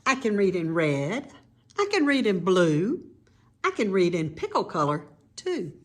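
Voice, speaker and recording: A woman reading a children's picture book aloud in an expressive, teacherly way, with informal explanatory asides to young listeners. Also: mid-range voice, measured pace.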